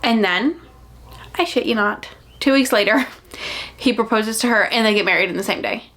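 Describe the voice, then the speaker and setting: A young adult woman chatting casually about books, with an animated, gushing delivery and dramatic emphasis. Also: on the high side, moderate pace. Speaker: book reviewer and vlogger. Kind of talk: casual chatty book recommendation vlog.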